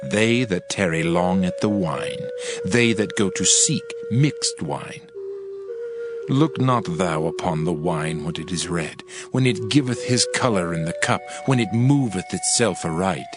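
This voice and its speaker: A man's voice: low-pitched, expressive, unhurried. A man giving a dramatized Bible reading in a solemn, emphatic, oratorical manner. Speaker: scripture reader. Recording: music behind the voice. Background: music.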